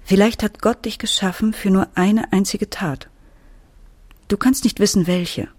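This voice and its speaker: A woman's voice: mid-range voice, fairly even intonation, unhurried, a little mellow, no echo, natural voice. An actress playing a worried, weary but firm middle-aged mother, acting and narrating in a radio drama. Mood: consoling, thoughtful.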